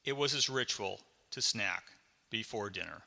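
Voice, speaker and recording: A man reading his own memoir as a scripted audiobook, with dramatic, deliberate storytelling. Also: lowish voice, animated, vocal fry, sharp tone, in a large hall.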